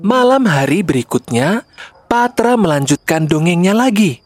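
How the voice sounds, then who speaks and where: A woman's voice: on the low side, expressive, moderate pace, darkish tone, soft background music. A woman narrator reading a scripted children's story.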